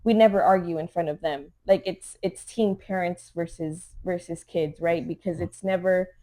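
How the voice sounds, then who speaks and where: A woman's voice: lowish voice, fairly even intonation, moderate pace, dark tone. A woman from Los Angeles, a mother of three, co-hosting a casual podcast and talking in an earnest, conversational way full of "like" and "you know". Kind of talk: casual chat.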